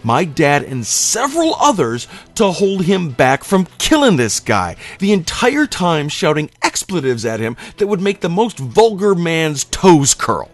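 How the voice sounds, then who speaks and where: A man's voice: fairly high pitch, very animated, unhurried, hardly any pauses. A man telling a scripted comic personal story in a dramatic, emphatic, profane manner. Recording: music playing under the voice. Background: background music.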